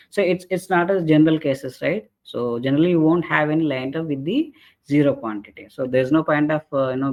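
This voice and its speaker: A man presenting an explanatory software training webinar in a halting way, often checking in with short tag questions. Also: mid-range voice. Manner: halting, repeatedly checks with "right?" and "okay". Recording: dull lo-fi webinar audio.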